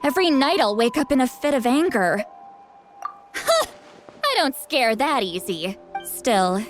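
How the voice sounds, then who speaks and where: A proud, sharp-tongued shaman heroine from a video game. Her fairly high voice is dramatic, boastful and secretly protective. Music plays softly behind. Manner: boastful yet self-doubting, dramatic.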